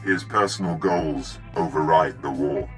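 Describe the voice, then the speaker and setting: An actor playing a cold, commanding Sith in a video game, speaking in a solemn, formal, imperious way. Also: male voice, extremely low pitch, a little slow, faint music behind. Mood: grave, commanding. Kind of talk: video game dramatic acting.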